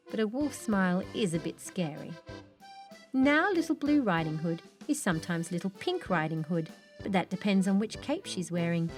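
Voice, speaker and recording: A woman reading a children's picture book aloud in an expressive read-along style, with faint music behind. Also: lowish voice. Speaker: children's storybook narrator.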